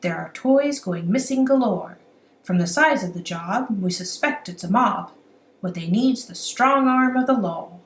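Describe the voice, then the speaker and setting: Woman as storybook police sergeant, stern, emphatic, loud, brisk, echoey room. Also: medium pitch, animated, recorded from a distance, natural voice. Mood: urgent, commanding. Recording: roomy echo, faint background noise.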